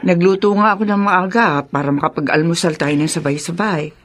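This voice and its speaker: A woman's voice: lowish voice, fairly quick, close to an everyday voice. A Filipino woman playing a worried, tender, remorseful middle-aged mother in a radio drama. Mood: remorseful, pleading.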